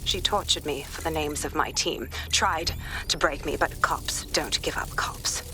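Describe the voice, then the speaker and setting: Hardened British female detective, low pitch, terse, determined, video game drama. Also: a little slow, noisy background. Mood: bitter resolve. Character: hardened, bitter female police detective seeking justice.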